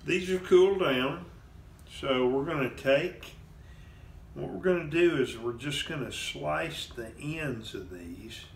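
A man's voice: fairly high pitch, animated, takes it slowly, creaky, a little hiss or hum. A man, hosting a cooking demonstration in his home kitchen and walking plainly through each step. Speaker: cooking show host. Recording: roomy kitchen echo.

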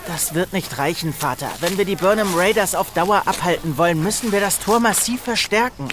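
A man's voice: sits high, fairly quick, noisy background, natural voice. A man playing an earnest, determined young prince in a children's audio drama, speaking firmly. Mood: earnest, urgent.

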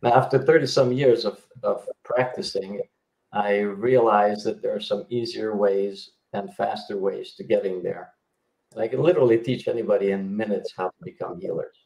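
A man's voice: fairly low pitch, fairly even intonation, normal speed, gravelly, a little mellow, echoey room, rough recording. A middle-aged man from Yugoslavia, a healer guest explaining his work over a video call, with many fillers and restarts. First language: Hungarian. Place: indoor home room.

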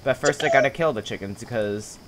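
A woman's voice: extremely low pitch, brisk, a crowd in the background. A woman host chatting casually over gameplay, rambling and hesitant, trailing off mid-sentence, with a cough. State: has a cough. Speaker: gaming channel host.

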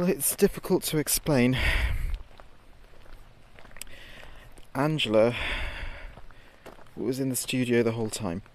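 A man acting a shaken radio DJ, very halting. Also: mid-range voice, darkish tone, close to an everyday voice. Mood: disturbed, halting. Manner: halting, hesitant, haunted delivery. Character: shaken male late-night radio DJ, frightened, reporting from outdoors. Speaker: radio DJ character in horror audio drama. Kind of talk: horror audio drama monologue as live radio field report. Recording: noisy outdoor field recording with ambience.

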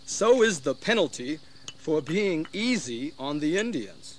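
A shrewd colonial schemer, a little high in pitch with lively swings. He persuades slyly and theatrically in a history radio drama, with faint noise behind him. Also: measured pace. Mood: sly persuasion. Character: shrewd, scheming colonial frontiersman urging rebellion. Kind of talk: dramatized history audio acting.

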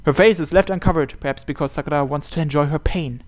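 A man's voice: on the high side, fast talker. A man narrating a visual novel aloud as host, reading the scripted text.

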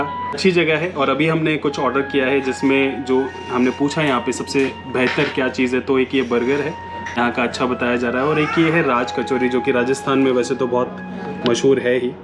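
Man, 30s, food vlogger, casual and relaxed, handheld camera, background music. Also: mid-range voice. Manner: relaxed and chatty, with filler pauses. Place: airplane-themed restaurant, indoors and outdoors. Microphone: action camera held at arm's length.

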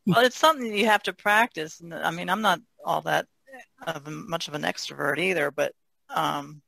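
Bright, creaky woman in casual chat. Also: lowish voice, normal speed, dry recording. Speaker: artist on a podcast panel.

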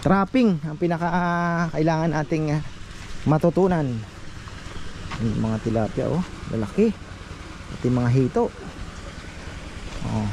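A man's voice: a little high, measured pace, pauses often, darkish tone. A man giving a casual farm tour, chatting to his viewers as fellow farmers. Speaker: farm vlogger. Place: outdoors on a farm. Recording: noisy outdoor phone recording.

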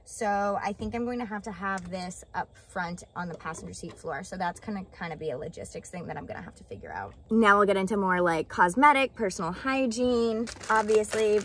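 A woman's voice: mid-range voice, a bit bright, a little hiss or hum. A young woman in her twenties, chatting casually and upbeat as a vlogger, filming herself on a phone at arm's length from a car and then outdoors. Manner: chatty, upbeat, lots of "like" fillers. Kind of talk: casual vlog chat.

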